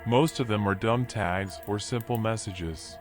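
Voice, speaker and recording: An actor playing a washed-up animal drifter in a noir video game, folksy, rambling and resigned. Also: male voice, lowish voice, measured pace, natural voice. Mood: wry, resigned. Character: washed-up male drifter animal, philosophical, wry, weary. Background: music.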